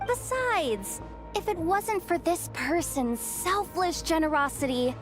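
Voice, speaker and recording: Game role: young female treasure hunter, high voice, cocky, theatrical, playfully evasive; music behind. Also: expressive, slow pace, full character voice. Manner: breezy, boastful, theatrical. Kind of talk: video game character acting.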